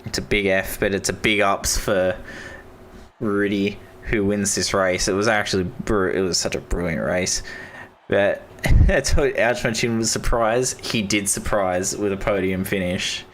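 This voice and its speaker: A young man with an Australian accent, giving casual, enthusiastic live commentary on an online racing league, his voice hoarse and going. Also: on the low side, a little slow, fairly bright tone, slight background noise. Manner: enthusiastic, repeats praise, casual slang. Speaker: esports race commentator.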